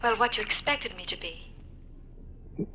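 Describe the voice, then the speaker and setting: A woman's voice: medium pitch, expressive, slow pace, slight background noise. An actress playing an uneasy, curious woman brought from the past in a 1950s radio drama, hesitant and earnest in her questions. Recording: muffled 1950s radio recording.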